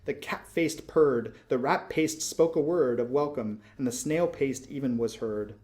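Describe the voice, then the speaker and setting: A man reading narrative verse aloud in a measured, expressive, literary storytelling manner. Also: mid-range voice. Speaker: poetry reader.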